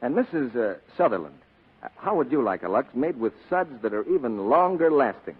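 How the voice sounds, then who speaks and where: A man's voice: big pitch swings, a little fast, husky. An American man working as a radio announcer, delivering an emphatic scripted 1940s commercial. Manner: emphatic sales pitch. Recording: tinny 1940s radio broadcast.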